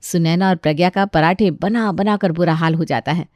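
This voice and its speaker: A woman's voice: lowish voice, moderate pace, darkish tone, dry recording. Dry, close-recorded woman storyteller. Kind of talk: scripted narration of a children's story.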